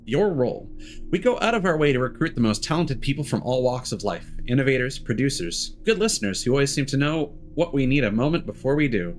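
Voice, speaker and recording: A man's voice: medium pitch, sing-song, a little fast. Male game streamer, mid-range voice, cheeky read-aloud with dry asides, background music.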